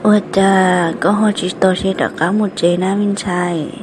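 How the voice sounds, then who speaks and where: A woman's voice: mid-range voice, steady tone, a little slow, background noise, close to an everyday voice. A woman voicing a female character in a family drama cartoon, in dramatic dialogue. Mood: conversational.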